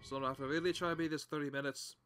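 A young man in a put-on Irish accent chats casually through a game, rambling and correcting himself. His voice sits a little high. Loud game music plays behind him. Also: steady tone, racing. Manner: rambling, self-correcting chatter. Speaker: gameplay commentator. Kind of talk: casual gameplay commentary.

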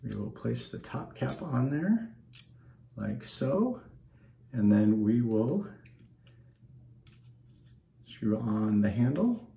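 A man's voice: medium pitch, very animated, extremely slow, creaky, pauses often, warm tone. A man in his forties, slightly stuffed up, rambling casually with lots of ums while he shaves and talks to the camera at home. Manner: rambling, many ums, easygoing. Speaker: wet shaving channel host. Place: small bathroom at home, indoors. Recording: echoey bathroom.

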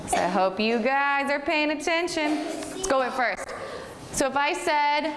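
Brief: young female teacher, slow and patient with little kids, a bit high, recorded by a far camera in a roomy classroom with noise behind. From United States. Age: 20s. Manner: patient, encouraging, repeats and praises often. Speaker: English teacher. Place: classroom in front of a whiteboard. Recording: echoey room with long reverb and noise.

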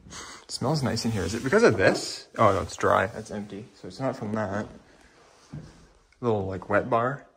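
A man's voice: lowish voice, animated, normal speed, a bit bright, a little hiss or hum. A man getting over a cold gives informal, awed walkthrough commentary as he explores empty rooms with a handheld camera. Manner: informal, awed, mildly sweary. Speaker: urban exploration vlogger. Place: inside empty rooms of a large abandoned house.

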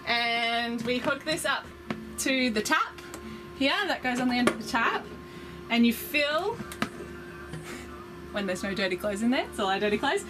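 A woman's voice: fairly high pitch, low-quality recording. A woman, chatting casually and upbeat as she walks through the cabin of a sailboat, explaining her gear with frequent ums. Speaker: vlogger giving a boat tour. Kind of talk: casual walkthrough vlog. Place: small cabin of a sailboat, indoors. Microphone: handheld camera close by.

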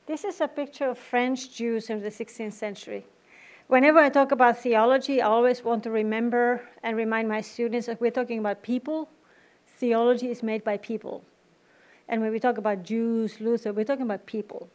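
A woman's voice: a little high, steady tone, a little fast. A middle-aged woman from Finland with a Finnish accent, giving a conversational university lecture at a podium, with wry asides. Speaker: guest lecturer. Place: large auditorium at a podium. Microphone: podium microphone.

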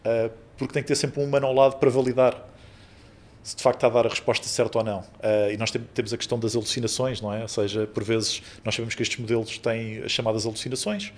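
A man's voice: medium pitch, level tone, fast. A man in his thirties hosting a podcast, asking questions with lots of hedging fillers. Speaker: podcast host. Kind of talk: conversational interview questions. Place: podcast studio room with sofas. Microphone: studio mic on boom arm.

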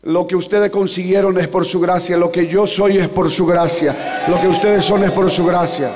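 A man in his forties from Paraguay with a Paraguayan Spanish accent, Guarani as his first language, preaching a passionate sermon into a handheld mic, climbing to shouts and slowing down for emphasis. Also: high-pitched, fairly even intonation. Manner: impassioned, rising to shouts, slows for emphasis. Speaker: pastor. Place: large church hall on a stage. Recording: long reverb of a large hall.

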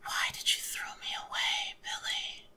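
Guy doing a creepy ghost girl, all breathy whisper, quiet n reproachful, horror story. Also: unhurried. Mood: eerie reproach. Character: ghostly faceless young girl, eerie and reproachful.

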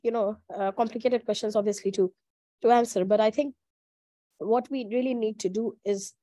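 Young female panelist, deliberate and emphatic, dry close sound on a video call. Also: mid-range voice, dark tone, no echo. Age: young adult. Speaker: youth delegate panelist. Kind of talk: panel discussion answer.

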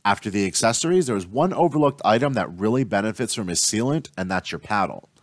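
A young adult man giving a steady, scripted tutorial voice-over as the host of an outdoors channel. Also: lowish voice, quick pace, no echo, studio quality. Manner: steady instructional delivery.

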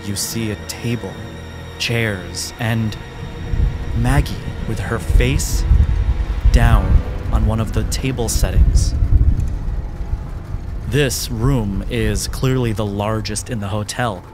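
A man narrating a horror tabletop game as game master, in a deliberate, descriptive, atmospheric storytelling manner. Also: fairly low pitch, over music.

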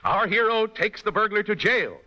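A man's voice: high voice, expressive, moderate pace, bright, forceful tone. A man hosting a 1940s radio show, announcing and narrating from a script. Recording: dull, noisy old radio recording, long reverb.

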